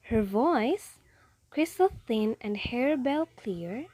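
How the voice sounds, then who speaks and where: A woman's voice: a little high, animated, takes it slowly, warm tone. A woman narrating a story expressively for a reader's theatre.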